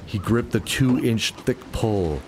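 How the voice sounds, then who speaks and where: Low-voiced man narrating a fantasy drama. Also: expressive, takes it slowly, background noise. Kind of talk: scripted dramatic storytelling, radio play. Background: music bed.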